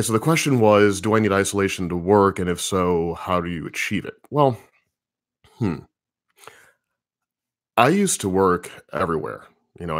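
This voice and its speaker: Deep-voiced man rambling casually. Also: dry recording, clean studio sound. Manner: relaxed, rambling, thinking aloud with many fillers. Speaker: comics writer answering viewer questions. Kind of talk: casual livestream Q&A.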